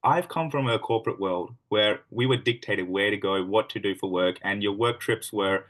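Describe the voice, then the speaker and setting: A man hosting a business presentation over a video call, talking in a brisk, upbeat and slightly rambling way. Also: on the low side, fairly bright tone. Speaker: host.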